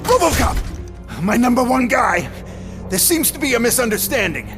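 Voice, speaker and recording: A man's voice: extremely high pitch, very animated, moderate pace, over music. An actor playing a panicked, cowardly man in a video game, stammering and wheedling as he pleads.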